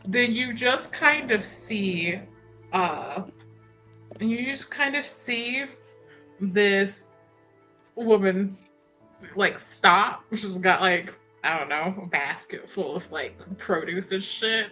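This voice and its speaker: A woman improvising casually in a tabletop roleplaying game, thinking aloud in an informal, animated way. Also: medium pitch, slow, faint music behind.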